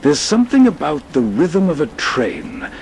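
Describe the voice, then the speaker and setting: A man's voice: a little high, huge pitch swings, moderate pace, vocal fry, a little mellow. A man hosting with reflective, scripted narration. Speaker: host. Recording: faint ambient train noise behind.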